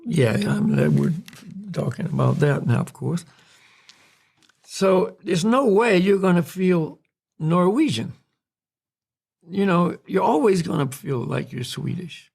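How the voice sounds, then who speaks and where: An American man from North Carolina, a podcast host calmly explaining spiritual ideas in a reflective, unhurried way. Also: high-pitched, vocal fry, husky. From North Carolina, USA. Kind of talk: spiritual discussion.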